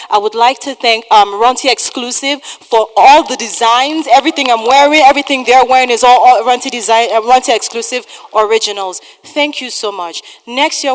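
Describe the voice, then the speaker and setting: A woman giving an emphatic thank-you speech as producer to a live audience, repeating words for stress. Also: a little high. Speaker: theatre producer thanking cast and sponsors. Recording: reverberant hall, some background noise.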